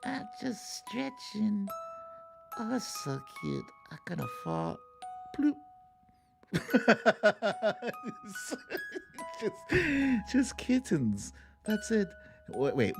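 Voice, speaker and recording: A livestream host commenting casually on clips in a playful, expressive way, with silly exclamations. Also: mid-range voice, extremely slow, vocal fry, soft background music.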